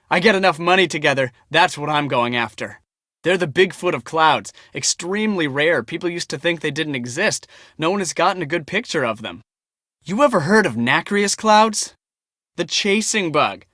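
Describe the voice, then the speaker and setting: A man's voice: on the high side, pushed and bright, dry recording, clean studio sound. A man playing a chatty, enthusiastic young storm-chasing photographer in casual video game dialogue.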